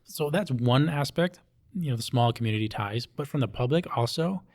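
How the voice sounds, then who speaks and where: A man's voice: medium pitch, animated, moderate pace, darkish tone. Explanatory man from Hawaii in conversation. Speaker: police officer interviewee. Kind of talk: conversational interview talk.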